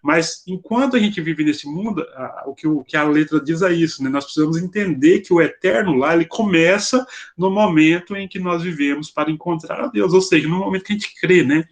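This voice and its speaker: A Brazilian man with a fairly high voice teaches scripture on a video call. He speaks as a preacher guiding a study group, explaining step by step in an informal, conversational manner and often checking in with short tag questions. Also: a little fast. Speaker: preacher leading a Bible study. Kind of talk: informal expository Bible teaching.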